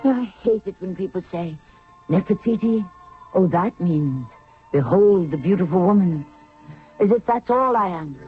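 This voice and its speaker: A woman's voice: on the low side, lively intonation, a little slow. A woman acting in a 1970s radio drama as a spirited, newly married young queen, wry, impatient and direct. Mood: wry exasperation. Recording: phone-like vintage radio recording with faint music.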